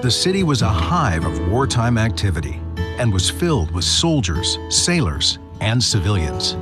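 Male history narrator, animated delivery, deep register, scripted documentary read. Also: unhurried. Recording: loud music bed under narration. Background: loud music.

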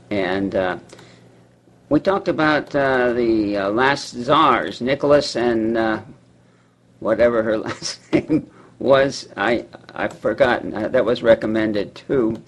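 A man with a husky, rough-edged voice talks casually in a reading group. He hesitates often as he hunts for the next word. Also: medium pitch. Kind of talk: casual book club discussion.

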